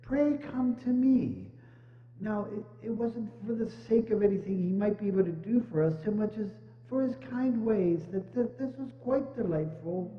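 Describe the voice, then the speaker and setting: A gentle, grieving Victorian father played by a man speaks in halting, stammering, tender phrases. It is a theatrical reading in a reverberant hall, with dull, muffled sound. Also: high voice, animated. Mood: moved, halting. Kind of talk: dramatic reading of a Victorian classic.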